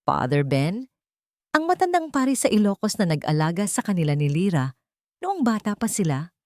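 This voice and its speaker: A woman's voice: mid-range voice, sing-song, a little fast, darkish tone, no room sound, studio quality. A woman with a Filipino accent narrating a scripted romantic drama in a dramatic storytelling style.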